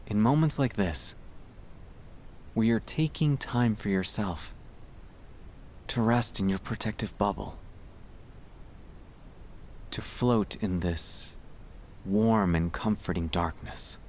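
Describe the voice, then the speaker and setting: A man narrating a sleep-aid ASMR monologue in a gentle, hushed, soothing and reassuring manner. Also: fairly low pitch, slow, long pauses, slight background noise. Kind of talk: ASMR guided relaxation, scripted comfort monologue.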